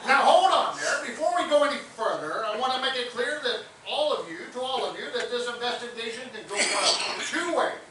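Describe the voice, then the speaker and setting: A man performs a lecherous, wisecracking detective in a stage farce, pompous and wordy. The pitch moves around a lot. It is heard from a distance in a very reverberant, noisy theatre. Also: animated, fairly quick, hardly any pauses. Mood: jovial, boozy. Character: boozy, wisecracking, lecherous male police detective. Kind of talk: farcical comic stage acting.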